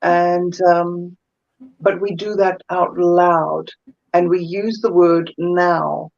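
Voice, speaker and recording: A woman with a South African accent, a guest energy healer chatting thoughtfully in an interview and often pausing to find her words. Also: fairly low pitch, level tone, vocal fry, darkish tone, lo-fi sound. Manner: thoughtful, searches for words, many fillers. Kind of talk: casual interview chat.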